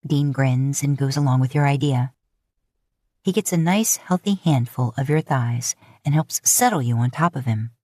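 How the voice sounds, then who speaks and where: A woman narrates a romantic story from a script, expressive and intimate. Her voice is low for a woman and a bit husky. The recording is dry, with no echo. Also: moderate pace. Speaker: podfic narrator.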